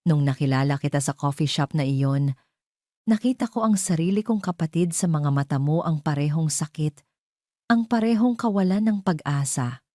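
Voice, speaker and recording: A woman's voice: deep voice, lively intonation, normal speed, dark tone, no echo, studio quality. A woman voicing a sincere, sorrowful friend in an audio drama, speaking earnestly and in a subdued way. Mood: earnest, protective. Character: sincere, sorrowful female friend confessing a vengeful plan.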